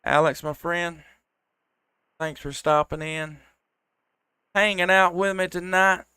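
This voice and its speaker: A man's voice: high voice, fairly even intonation, takes it slowly, bright, forceful tone, clear, resonant tone, no room sound, studio quality. A man, hosting an amateur radio live stream with casual, folksy, friendly chat and station calls. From United States.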